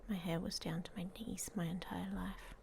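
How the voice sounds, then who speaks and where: A woman playing a guarded, hot-tempered young werewolf in an ASMR roleplay, speaking in breathy half-whispers with a hesitant, dryly blunt manner. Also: on the low side, flat delivery, normal speed, a little mellow. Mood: subdued, reflective. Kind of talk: ASMR roleplay audio story, intimate monologue. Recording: faint background noise.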